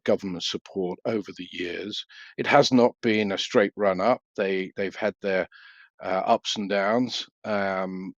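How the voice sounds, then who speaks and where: Brief: middle-aged man, very low gravelly voice, dry dull recording, hesitant expert answers. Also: no room sound. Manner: measured, hesitant with many fillers and restarts. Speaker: freight market analyst guest. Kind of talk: business interview answers.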